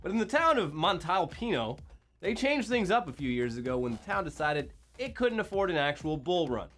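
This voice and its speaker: A man co-hosting a scripted comedy news show, with dry, deadpan jokes. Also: on the high side, moderate pace, faint music behind. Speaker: co-host.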